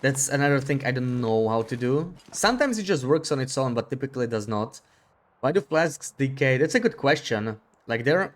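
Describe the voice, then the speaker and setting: Male streamer, casual chat at a desk mic, mid-pitched voice, muses aloud and repeats himself. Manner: thinks aloud, with frequent restarts and repeats. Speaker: live streamer. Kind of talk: casual gameplay chat, reading viewer comments.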